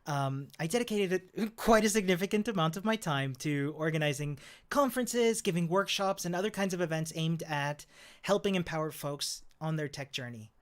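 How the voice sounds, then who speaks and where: A man's voice: a little high, lively intonation, moderate pace. Upbeat Chilean-born man chatting with laughs. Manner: upbeat, chatty, laughs often, many fillers. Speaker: developer advocate. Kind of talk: casual talk to camera.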